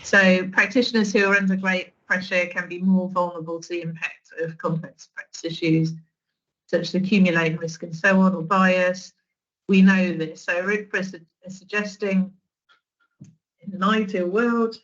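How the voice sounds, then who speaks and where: A woman in her forties leads a training session over a video call, speaking in a relaxed, conversational way full of restarts and small fillers. Her voice sits fairly low, and the audio comes through a little muffled and dull. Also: moderate pace. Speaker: social work trainer. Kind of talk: online training presentation.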